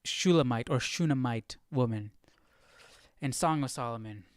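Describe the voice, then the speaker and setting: A man hosting a casual Bible study livestream, thinking aloud in an informal, halting way. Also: mid-range voice, dry recording, studio quality. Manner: informal, halting, muses to himself.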